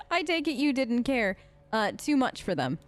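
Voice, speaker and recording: A woman gaming streamer with a fairly high voice. She talks in a chatty, curious and slightly tentative way while role-playing through a game. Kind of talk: role-play let's play commentary.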